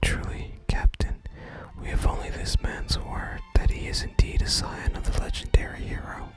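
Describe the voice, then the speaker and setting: The voice is an entirely whispered, breathy ASMR delivery. It reads fantasy game dialogue in a gentle, deliberate way and slips into casual player commentary. Music is clearly audible behind the whisper. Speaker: ASMR gaming content creator.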